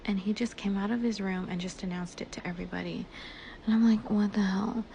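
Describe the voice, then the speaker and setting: A woman's voice: mid-range voice, fairly even intonation, a little fast, gravelly, warm tone, dull audio, a little hiss or hum. A woman telling a casual, personal story about her day in an emphatic, conversational way, acting out bits of dialogue.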